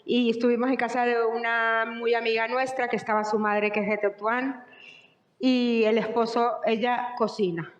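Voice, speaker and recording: In a reverberant hall, a woman with a Venezuelan accent talks informally as a workshop presenter. Her voice sits a little high, and the sound is slightly dull. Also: flat delivery, moderate pace. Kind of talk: informal question-and-answer talk. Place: large echoey indoor room. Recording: echoey hall, muffled sound.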